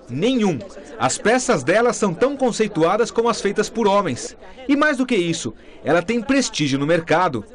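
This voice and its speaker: A young Brazilian man narrates a TV news report. His voice sits high for a man and moves through a wide range of pitch as he reads his script. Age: young adult.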